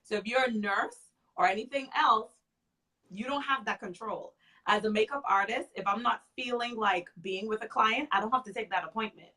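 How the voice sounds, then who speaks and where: A woman's voice: a little high, normal speed, sharp tone, rough recording. A woman in her thirties, a makeup artist and guest, speaking candidly in a casual interview and often repeating short phrases. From Freeport.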